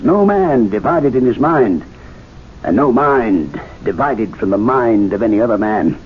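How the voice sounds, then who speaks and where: An actor playing a learned club member who earnestly lectures his fellow members in a radio drama, recorded as a tinny old broadcast with faint hiss. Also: mid-range voice. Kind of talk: 1970s radio drama acting.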